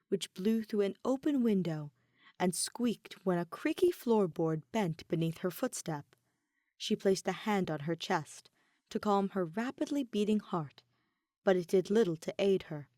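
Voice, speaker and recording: A woman's voice: medium pitch, no echo. Woman reading a story in a dry close voice. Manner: measured, even storytelling. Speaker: fan fiction reader. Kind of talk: audiobook narration.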